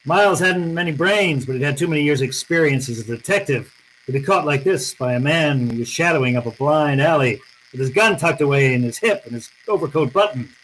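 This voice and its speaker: A man's voice: on the high side, animated, fairly quick, a bit bright, slight background noise, low-quality recording. A man playing a cynical, unyielding private detective in an old-time radio drama, with a terse, tough, accusing manner. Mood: accusing, hard.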